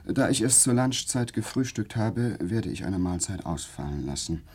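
Radio drama young aristocrat: lowish husky voice, vain, overwrought, impassioned and highly expressive in melody. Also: male voice, moderate pace, dry recording. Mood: composed, lordly. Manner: florid, theatrical, impassioned. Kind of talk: German radio play acting.

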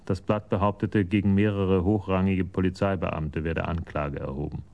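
A man's voice: sits low, little pitch movement, normal speed, vocal fry, phone-quality sound. A man narrating a crime drama from a script.

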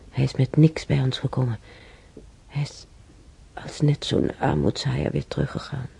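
Frail, halting older woman, slow and creaky. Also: a bass voice, warm tone, exaggerated voice. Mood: haunted, agitated. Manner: halting, murmuring, repeating herself. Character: ailing older woman reminiscing wistfully about wartime. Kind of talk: radio drama monologue.

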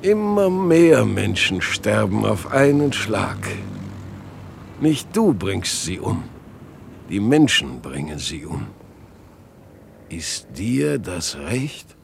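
Anguished father, creaky and slow, bitter radio-play intensity with wide swings in pitch. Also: very animated, slight background noise, heavily acted. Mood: weary, bitter. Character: anguished father bitterly questioning a mysterious death-like being. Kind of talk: dramatic radio play acting.

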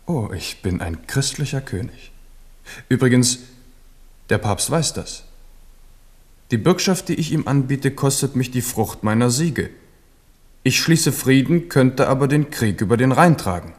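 A man's voice: mid-range voice, animated, a little slow, pauses often. An actor playing a proud, defiant king in a radio play, speaking with emphatic force. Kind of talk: 1970s radio play acting.

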